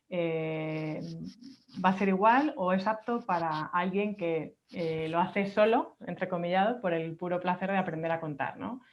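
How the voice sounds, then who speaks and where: Woman moderating, slightly low, dull call audio. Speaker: moderator of an online question session. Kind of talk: casual webinar moderating, relaying questions.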